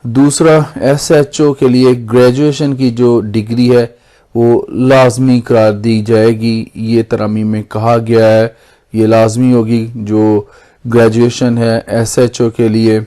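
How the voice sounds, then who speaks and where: Mellow, even-toned Pakistani man explaining. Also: mid-range voice, takes it slowly. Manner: formal, repeats and rephrases points. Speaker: lawyer presenting legal explainer videos. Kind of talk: explanatory lesson, semi-scripted.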